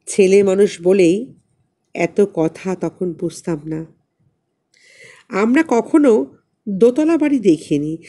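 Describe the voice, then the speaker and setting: A woman narrating a literary story in an expressive, dramatic reading style. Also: mid-range voice. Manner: dramatic, acts out dialogue. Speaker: audiobook narrator.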